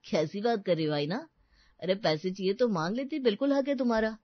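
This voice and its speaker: A woman's voice: medium pitch, fairly quick, dry recording, lo-fi sound, lightly acted. A woman voicing a baffled young husband who pleads and placates in a family story. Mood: baffled placating. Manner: pleading, puzzled. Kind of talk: Hindi family story acting.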